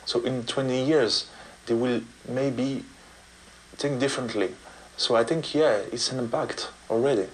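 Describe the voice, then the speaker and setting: Halting French-accented man, faint background noise. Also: medium pitch, poor audio. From France. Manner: halting non-native English. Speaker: street artist, interviewee. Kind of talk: unscripted interview answers. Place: artist's studio in Paris suburbs.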